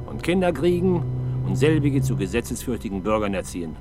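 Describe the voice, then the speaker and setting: A man acting a gruff, lively-voiced police inspector. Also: medium pitch, a little fast, background noise, lightly acted. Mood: gruffly kind. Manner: grumbling, dryly ironic, commanding. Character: gruff, world-weary but kind-hearted middle-aged police inspector. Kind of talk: 1970s German radio crime drama acting.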